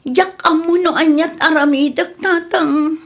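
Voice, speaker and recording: A woman's voice: very high voice, steady tone, a little slow. An actress playing a lovesick, troubled young woman in a radio drama, whiny and emotional in manner. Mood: troubled, plaintive. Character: lovesick, distressed young woman quarrelling and confiding.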